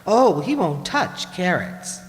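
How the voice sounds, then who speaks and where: A woman's voice: on the low side, sing-song, slow pace. A woman playing a reserved, hesitant housewife in an amateur radio play. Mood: hesitant, uneasy. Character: reticent, faintly troubled housewife shopping for groceries. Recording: echoey hall, long reverb.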